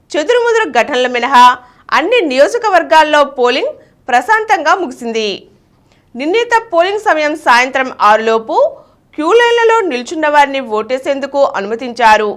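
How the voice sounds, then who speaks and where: High-pitched, animated woman news reader. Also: a little fast. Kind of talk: scripted news reading.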